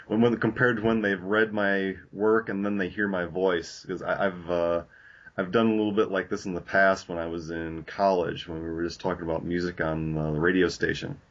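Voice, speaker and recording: A young man hosting a casual podcast discussion, talking haltingly with many fillers and restarts. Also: deep voice, level tone. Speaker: podcast host. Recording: lo-fi dull audio.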